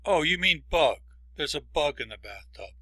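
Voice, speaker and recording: Man playing ordinary guy, educational skit dialogue, raspy, bright forceful tone. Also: a little high, expressive, moderate pace, exaggerated voice. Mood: apologetic. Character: ordinary man in short pronunciation example skits. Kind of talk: scripted lesson dialogue.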